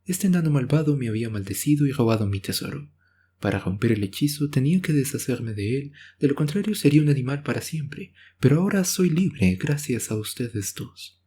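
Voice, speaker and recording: Young storybook prince, played by a man: soft-spoken, earnest, grateful, slightly breathy. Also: mid-range voice, lively intonation, fairly quick, voice pushed up. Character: young gentle grateful fairy-tale prince. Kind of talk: bedtime fairy-tale character voice.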